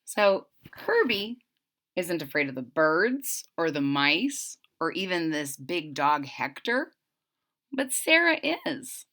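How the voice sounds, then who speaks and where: A woman reading aloud to children, expressive and explanatory. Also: low-pitched, takes it slowly. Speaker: storybook reader.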